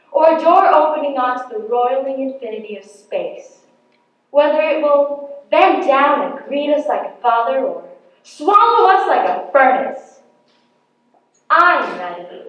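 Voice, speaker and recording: Woman, dramatic poetry recitation, very slow measured pacing, deliberate pauses, distant dull echoey recording. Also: high voice. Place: large echoey indoor hall at a poetry competition. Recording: very echoey hall, distant mic, dull sound.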